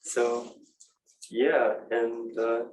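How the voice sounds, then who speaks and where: A man in his twenties, a graduate student giving a relaxed, rambling class presentation with many fillers. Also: medium pitch, very slow, a little hiss or hum, rough recording.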